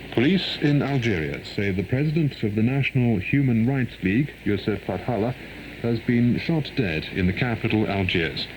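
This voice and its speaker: A man reads a formal, measured world news bulletin, heard over shortwave radio. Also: mid-range voice, expressive. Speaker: radio newsreader. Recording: noisy 1990s shortwave radio reception.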